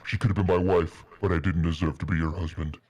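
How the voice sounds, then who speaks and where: Very deep-voiced man, melodramatic reading. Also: steady tone, fast, darkish tone. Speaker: streamer reading fan fiction. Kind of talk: dramatic narration with casual asides.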